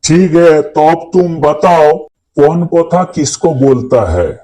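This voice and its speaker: A man's voice: on the high side, slow, dark tone, rough recording. A man voicing an exasperated, sarcastic schoolteacher in a comedy cartoon, quizzing pupils with a mocking drawl. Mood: irritated.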